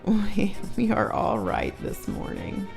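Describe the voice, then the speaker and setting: A woman's voice: mid-range voice, wildly swooping pitch, takes it slowly, gravelly, over music. A woman co-hosting a live stream, giggling often and reading viewer comments aloud in a casual chat.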